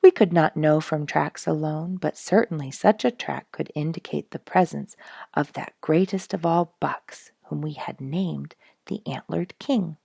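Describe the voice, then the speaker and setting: A woman reading a nature storybook aloud in an expressive, measured, dramatic storytelling manner. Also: sits low, vocal fry, breathy edge, dry recording, studio quality. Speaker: audiobook reader and show host.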